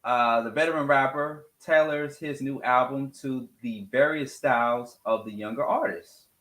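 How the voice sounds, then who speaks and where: A man's voice: mid-range voice, normal speed, fairly bright tone, reverberant, poor audio. A man hosting a morning show, talking casually about music and repeating phrases for emphasis. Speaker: radio morning show host.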